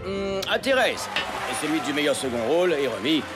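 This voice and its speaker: A man voicing a sarcastic mock award presenter in a French cartoon, pompous and drawn-out. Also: on the high side, sing-song. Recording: music underneath.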